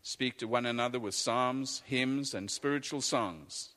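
A man reading scripture aloud in a measured, deliberate way. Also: mid-range voice, fairly bright tone. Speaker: scripture reader. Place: church. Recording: echoey church hall.

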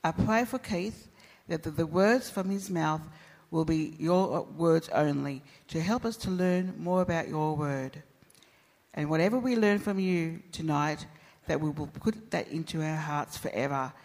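Lady praying out loud at church, earnest and steady, echoey hall. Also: sits low. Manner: earnest, measured prayer. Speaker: church member leading prayer. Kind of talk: spoken prayer.

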